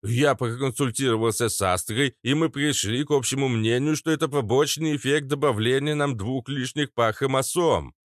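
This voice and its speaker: Мужчина играет скрипучего старого лекаря. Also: male voice, mid-range voice, normal speed, hardly any pauses, no room sound, clean studio sound, pitched above the performer's own voice, close to an everyday voice. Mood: reassuring. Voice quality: creaky, scratchy. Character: old male healer, grumbling know-it-all explainer.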